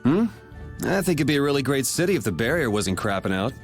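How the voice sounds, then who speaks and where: A man's voice: mid-range voice, sing-song, fast talker. Sardonic, streetwise young man. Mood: wry. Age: young adult. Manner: dry, laid-back, wry. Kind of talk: video game voice acting. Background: game music.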